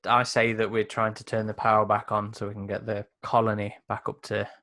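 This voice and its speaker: Low, bright-edged man playing a game. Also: completely flat delivery, fairly quick. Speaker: tabletop game player. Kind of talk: casual game-table chat.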